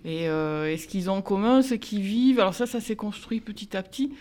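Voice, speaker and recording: A woman's voice: medium pitch, a little fast, a little mellow. A woman novelist, speaking thoughtfully in a conversational interview, often restarting her sentences as she reflects. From France, of Italian descent. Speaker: novelist guest.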